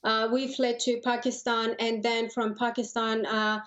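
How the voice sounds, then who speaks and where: A woman in her thirties from Afghanistan gives a news interview in a fairly high, level voice. She is earnest and halting, often repeating words. The audio is slightly dull and lo-fi. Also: level tone, fairly bright tone. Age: 30s. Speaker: interview guest, lawyer and human rights advocate.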